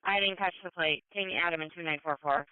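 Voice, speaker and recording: Female dispatcher, short brisk replies, dry narrow-band radio sound. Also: lowish voice, smooth, dry recording. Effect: two-way radio. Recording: tinny narrow-band police scanner.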